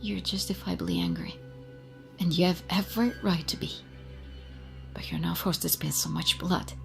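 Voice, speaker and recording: A woman's voice: lowish voice, big pitch swings, normal speed, vocal fry, soft background music. An actress playing a frightened woman scientist who pleads desperately for her life in an audio drama. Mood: pleading.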